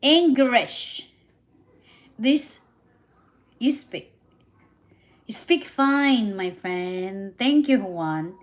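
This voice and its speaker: Woman, Filipino accent, livestream host, casual chat, halting self-correcting English, slow pace, fairly high voice. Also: animated. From Philippines. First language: Visayan and Tagalog. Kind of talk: casual live stream chat, reading viewer comments.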